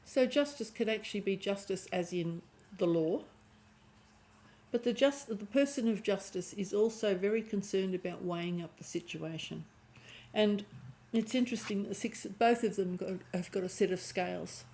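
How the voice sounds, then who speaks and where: Croaky-voiced woman reading tarot cards, thinks out loud, clears her throat a lot, mid pitch. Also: normal speed. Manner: musing aloud, restarting phrases, clearing throat. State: croaky voice with a lingering cough. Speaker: tarot reader. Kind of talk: intuitive tarot reading, talking to viewers.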